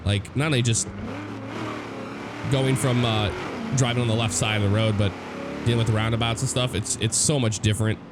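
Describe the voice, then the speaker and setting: Hoarse, low-voiced American man over engine noise. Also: a little fast, pauses often. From United States. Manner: rambling, relaxed, many fillers and restarts. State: hoarse from a cold. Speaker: gameplay commentator. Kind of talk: casual driving game commentary. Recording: game car sounds loud behind voice. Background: car engine and road noise from the game.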